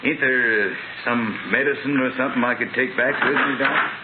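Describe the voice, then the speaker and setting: Actor as evasive frontier outlaw, narrowband radio. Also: male voice, medium pitch, normal speed, natural voice. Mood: worried, evasive. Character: evasive rough outlaw man in the Old West. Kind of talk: 1950s radio western acting. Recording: muffled, narrowband, noisy old radio recording.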